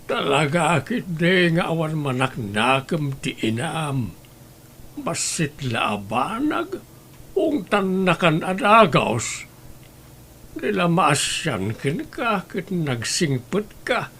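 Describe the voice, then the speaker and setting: A man playing an elderly, concerned grandfather in an audio drama, gently scolding with an Ilocano accent. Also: a little high, big pitch swings, slow, a little hiss or hum, full character voice. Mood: concerned, reproachful.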